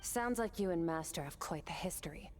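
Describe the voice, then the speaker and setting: A powerful young swordswoman from a video game, voiced by a woman, speaks in a slightly low voice. She is aloof and composed, terse in delivery, with faint music behind her. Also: lively intonation, brisk, natural voice. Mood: cool, probing. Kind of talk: scripted video game story dialogue.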